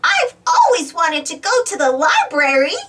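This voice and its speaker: A woman voicing an excited little girl dinosaur for a storybook, squealing and eager. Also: extremely high pitch, moderate pace, recorded from a distance, voice pushed up, exaggerated voice.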